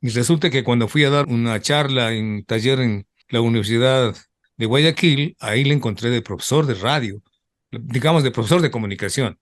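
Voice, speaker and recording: A man's voice: medium pitch, moderate pace. Older man, former radio announcer. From Ecuador. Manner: rambling storyteller, trails off with repeated asides. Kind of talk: reminiscing in an interview.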